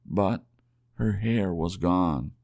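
A man's voice: deep voice, extremely slow, vocal fry, darkish tone, dry recording, studio quality. A man reading a story aloud as an ASMR audiobook narrator.

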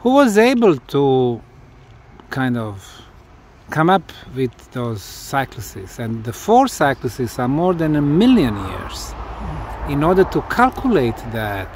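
A man who is a non-native English speaker, putting deliberate questions as an interviewer outdoors. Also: mid-range voice, sing-song, slight background noise. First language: not English. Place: outdoors, behind the camera.

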